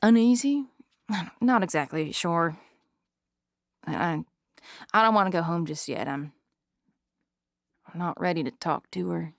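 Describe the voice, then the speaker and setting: An actress playing a worried, uneasy woman confiding in a friend in an audio drama, with a troubled, expressive delivery. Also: lowish voice, measured pace, gravelly, pauses often, no echo, studio quality. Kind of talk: dramatic dialogue in fiction podcast.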